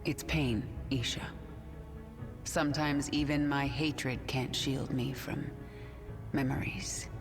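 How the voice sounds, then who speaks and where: A woman's voice: extremely low pitch, steady tone, takes it slowly, vocal fry, heavily acted. A woman playing a vengeful, decisive queen of an alien swarm in a video game, with music behind. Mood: wounded regret. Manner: grim, controlled, decisive. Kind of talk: video game cutscene acting.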